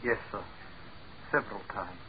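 A man's voice: on the low side, normal speed, close to an everyday voice. Man acting an earnest, deferential young scientist. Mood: guarded. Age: young adult. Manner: formal, respectful, restrained. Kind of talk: 1940s radio acting. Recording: muffled, hissy 1940s radio recording.

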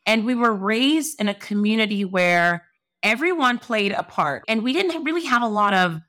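A middle-aged woman from Sierra Leone, a lawyer and entrepreneur, speaking as a guest in a podcast interview in a measured, reflective and earnest way. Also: mid-range voice, animated, sharp tone.

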